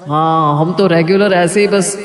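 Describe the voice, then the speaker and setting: A man's voice: fairly high pitch, steady tone, unhurried, natural voice. A man playing a stubborn, melodramatic family man who loves sweets in a story drama. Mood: dismissive, breezy. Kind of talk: hammy family story dialogue. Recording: echoey, reverberant hall sound.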